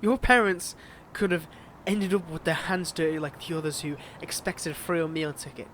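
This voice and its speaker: Male villain, played by an actor for romantic audio drama: scorned ex, mocking and seductive, teasing drawn-out delivery, clearly noisy outdoor backdrop. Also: on the high side, fairly bright tone, lightly acted. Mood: taunting, resentful. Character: flirtatious, taunting male villain, a bitter scorned ex-lover. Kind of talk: dramatic audio roleplay acting addressed to listener.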